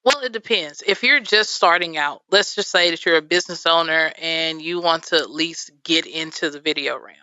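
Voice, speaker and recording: A very bright, crisp woman with a Texan accent, a videographer talking as a guest on the radio. She chats along with plenty of fillers and easy laughs, and her voice sounds slightly dull and dry from the studio. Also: sits low, normal speed, hardly any pauses, no room sound. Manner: chatty, many fillers, laughs easily. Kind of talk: radio interview.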